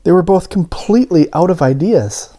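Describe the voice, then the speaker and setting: A man's voice: sits high, expressive, moderate pace, mellow. A man reading a children's story aloud as scripted narration. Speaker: storybook reader.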